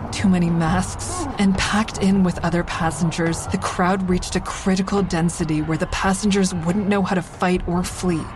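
A woman's voice: fairly low pitch, background noise, natural voice. A woman playing a shaken survivor narrating a train robbery in a scripted audio drama. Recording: music behind narration. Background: dramatic score and train sound effects.

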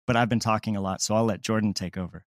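A man's voice: lowish voice, steady tone, very fast, no echo, clean studio sound. A man chatting casually as an interview guest, explaining creative work in a reflective way.

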